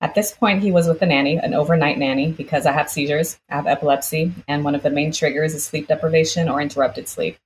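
A woman's voice: low-pitched, steady tone, quick pace, few pauses, slight background noise, low-quality recording. A woman from the United States, born in Brazil, telling a personal story conversationally as an interview guest, quoting people as she goes.

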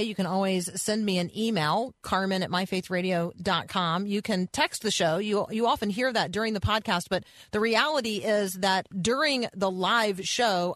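Female radio host for faith programming: chatty, encouraging, medium pitch, close dry sound, speaks straight to listeners. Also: a bit bright, no room sound.

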